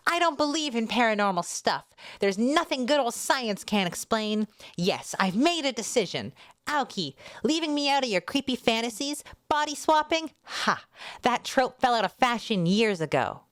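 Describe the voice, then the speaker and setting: Woman voicing an excitable high-pitched teenage girl. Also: moderate pace, no room sound, clean studio sound. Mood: defiant. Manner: emphatic, dramatic, wailing. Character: excitable, agitated teenage girl in stubborn denial.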